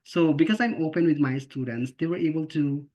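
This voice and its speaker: A man's voice: on the high side, fairly quick, a little mellow. A man from the Philippines with a Filipino accent, a special education teacher giving an earnest, informal talk on a video call, often checking in with "okay?" and "right?". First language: not English. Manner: earnest, repeats and restarts words, asks "okay?" and "right?" often. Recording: slightly dull lo-fi video call audio.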